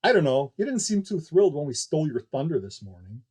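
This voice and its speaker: Bold young female aviator, read by a man: brisk, plain-spoken, lively swings, faintly husky, audiobook style. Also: fairly high pitch, pitched above the performer's own voice. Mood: confrontational. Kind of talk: audiobook character dialogue.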